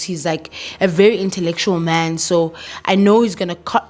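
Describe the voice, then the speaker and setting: A South African woman in her thirties talking candidly to camera in a casual vlog, slipping in local words and swearing now and then. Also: fairly low pitch. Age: 30s. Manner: candid, code-switching, swears, laughs. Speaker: vlogger.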